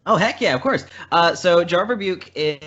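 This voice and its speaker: A man's voice: high-pitched, animated, moderate pace, a bit bright, muffled recording. A man from Indiana, talking casually and enthusiastically as a podcast guest. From Indiana, American Midwest. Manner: enthusiastic, informal. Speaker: podcast guest, audio drama creator and voice actor. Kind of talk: casual conversational storytelling.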